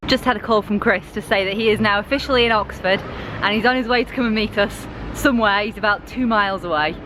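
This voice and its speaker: A woman from England vlogs into a handheld camera, chatty and upbeat with easy laughs. Her voice sits at an ordinary middle pitch. Steady outdoor noise runs behind her. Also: quick pace. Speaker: vlogger. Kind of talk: casual vlog chat to camera. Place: walking outdoors in a city, later at home.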